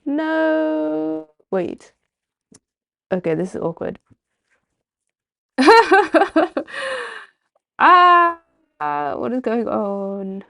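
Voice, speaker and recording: A woman's voice: high-pitched, sing-song, extremely slow, gravelly, long pauses, fairly bright tone. A woman streamer chatting casually on a livestream while playing a story game.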